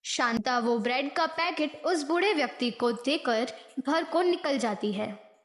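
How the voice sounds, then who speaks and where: High-voiced woman storyteller, echoey. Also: animated, a little slow. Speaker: story narrator. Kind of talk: scripted narration of devotional moral story. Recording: echoey, roomy voice.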